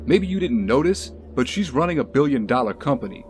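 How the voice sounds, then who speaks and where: Man as former bodyguard, medium-pitched male voice, blunt, firm, reproving, protective, audio drama, prominent music underneath. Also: sing-song, a little fast, darkish tone, lightly acted. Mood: stern. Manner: firm, plain-spoken, reproving.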